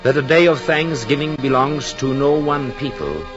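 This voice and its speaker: An actor playing an earnest, brooding European husband and expectant father who narrates his journal in solemn, literary, measured 1940s radio drama style. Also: medium pitch, muffled recording, natural voice. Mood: solemn, uplifted. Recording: old 1940s radio, music behind.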